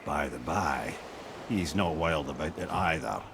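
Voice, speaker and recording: An actor playing a witty, worldly old sage in a video game, with a Scottish accent and a wry, chatty manner. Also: male voice, extremely low pitch, unhurried, gravelly, background noise, heavily acted. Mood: wry, droll. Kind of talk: dramatic video game acting. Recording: loud music behind.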